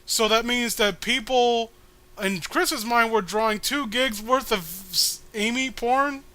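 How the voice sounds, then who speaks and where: A man's voice: very high voice, steady tone, unhurried, pushed and bright. A man giving casual, mocking commentary as a co-host, rambling, sarcastic and freely swearing. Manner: sarcastic, rambling, foul-mouthed. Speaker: co-host reading a webcomic aloud with commentary.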